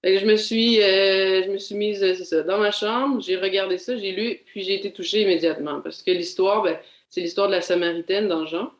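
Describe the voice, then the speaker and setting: A young adult woman with a Québécois accent gives a candid, reflective personal testimony, pausing often to find her words. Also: mid-range voice, steady tone, roomy sound. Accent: Québécois French. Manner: candid, reflective, halting with frequent fillers. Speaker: doctoral student giving a faith testimony. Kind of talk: personal testimony in a video seminar.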